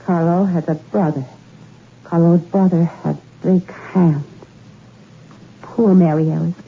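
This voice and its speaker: A woman's voice: fairly low pitch, fairly even intonation, slow pace, gravelly, slightly rough, exaggerated voice. An actress playing a rich young woman confessing to murder, cool and bitter, in 1960s radio drama style on an old muffled recording. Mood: cool confession. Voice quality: cool, confessional, then anguished.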